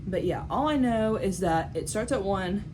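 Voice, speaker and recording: A woman's voice: medium pitch, lively intonation, moderate pace. Girl, 18, rambling vlog chat into her camera at home, lots of likes and ums, noisy background hiss. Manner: chatty, lots of "like" and "um" fillers. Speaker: vlogger. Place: at home in a bedroom. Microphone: phone or camera on a tripod.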